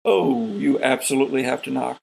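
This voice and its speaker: A man's voice: medium pitch, a little fast, gravelly, a little mellow, a little hiss or hum, rough recording. A man running a tabletop sci-fi horror game as host, narrating scenes and calling for dice rolls.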